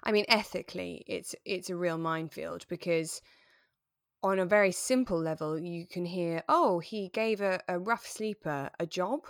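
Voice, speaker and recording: A British woman co-hosting a podcast in a casual, animated chat, slipping into mock quotes as she talks. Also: fairly low pitch, moderate pace, no echo, studio quality. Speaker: podcast co-host. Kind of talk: casual chat, reviewing a radio drama.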